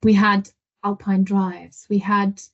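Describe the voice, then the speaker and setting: A woman guest on a podcast interview, telling her stories in a lively way and often laughing. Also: medium pitch, takes it slowly. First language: not French. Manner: animated storyteller, laughs often. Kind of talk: casual interview. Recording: dull lo-fi audio.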